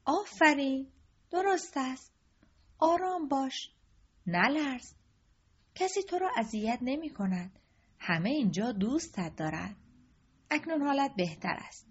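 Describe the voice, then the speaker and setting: A woman's voice: sits high, lively intonation, pauses often, voice pushed up. A woman voicing a stern old king. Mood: soothing. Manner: imperious, loud commands. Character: stern aging male king, commanding and fatherly. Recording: dull lo-fi recording.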